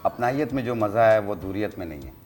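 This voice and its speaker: A man's voice: fairly low pitch, lively intonation, quick pace, darkish tone, soft background music. A man speaking courteously as a talk show guest.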